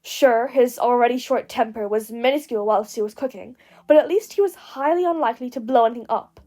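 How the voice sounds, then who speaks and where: High-voiced woman narrating fiction. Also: fairly quick, dark tone. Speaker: podfic narrator. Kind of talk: expressive scripted fiction narration.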